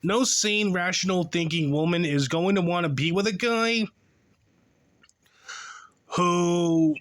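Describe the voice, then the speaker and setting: A young man in his twenties talks over a clip in a sarcastic, exasperated way, with a voice pitched a little above most men's. He mocks the clip's speaker in a drawn-out, ridiculing commentary. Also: fairly bright tone. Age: 20s. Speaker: reaction video host.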